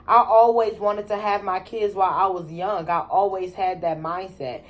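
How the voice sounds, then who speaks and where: A woman's voice: mid-range voice, steady tone, normal speed. A woman in her twenties talking casually and bluntly as the host, swearing freely and using slang. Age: 20s. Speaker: channel host. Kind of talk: casual chat.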